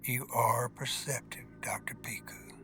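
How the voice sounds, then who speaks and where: A man voicing a weary old ghostly cemetery caretaker who talks in a hushed, slow, ominous way. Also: medium pitch, fairly even intonation, whispered, soft background music. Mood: knowing. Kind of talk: audio drama acting.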